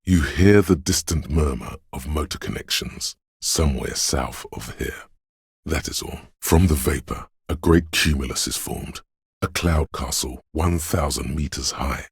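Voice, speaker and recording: A solemn, otherworldly inner voice of a city for a game: extremely low, badly husky, poetic and measured. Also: male voice, dry recording, studio quality, heavily acted. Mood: somber, watchful. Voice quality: very deep, gravelly, husky. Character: brooding, mystical inner voice sensing the city and wind. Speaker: video game voice actor. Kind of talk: scripted poetic second-person game narration.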